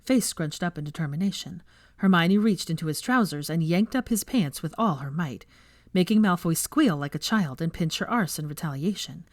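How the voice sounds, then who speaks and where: Low-voiced, animated woman storyteller. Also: moderate pace, dry recording, studio quality. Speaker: fan fiction narrator. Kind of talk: expressive scripted story narration.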